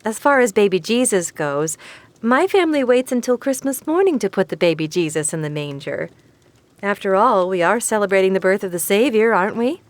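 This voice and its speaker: An actress playing an amused, kindly, devout neighbor woman in an audio drama, expressive and patient. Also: mid-range voice, a little fast, dry recording, close to an everyday voice. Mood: gentle, sincere.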